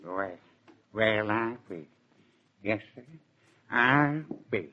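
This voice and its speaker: A laconic farmer, speaking fairly low with a rural American accent. He is dry, plainspoken and unsentimental. The sound is a dull old radio drama recording. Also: lively intonation, extremely slow, slight background noise. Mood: impressed. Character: laconic, unsentimental rural farmer, a mature man selling his cow. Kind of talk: 1940s radio drama acting.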